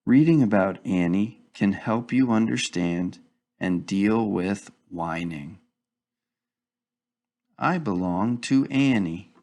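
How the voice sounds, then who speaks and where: A man reading a children's picture book aloud in a deliberate, instructive way, as if to young children. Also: lowish voice, mellow. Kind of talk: read-aloud storybook narration.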